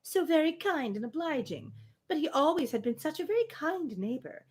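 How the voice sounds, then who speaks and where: A woman's voice: sits high, expressive, normal speed, darkish tone, voice pushed up. A woman reading a spirited, opinionated young woman from a Regency novel, emphatic and formal. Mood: gushing mimicry.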